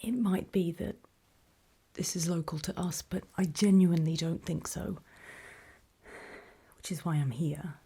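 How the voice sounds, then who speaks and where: A woman acts out a nervous female survivor of a zombie apocalypse in a horror ASMR roleplay. She speaks in a very breathy, husky whisper, slow and halting, with gravelly creak at the edges. She sounds apologetic and unsure of herself. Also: on the low side, steady tone, dark tone, heavily acted. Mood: uneasy, earnest. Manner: hesitant, halting, self-conscious.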